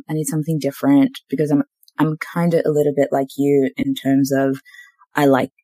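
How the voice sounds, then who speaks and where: A woman's voice: low voice, dry recording. A woman in her twenties who grew up in Zimbabwe and New Zealand, hosting a podcast and chatting casually, telling stories with frequent laughs. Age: 20s. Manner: chatty, laughs often, animated storytelling. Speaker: podcast host. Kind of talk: casual conversation.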